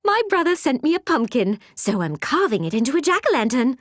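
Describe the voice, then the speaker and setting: Lively, teasing fantasy woman. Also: sits high, brisk, no echo, clean studio sound. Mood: playful.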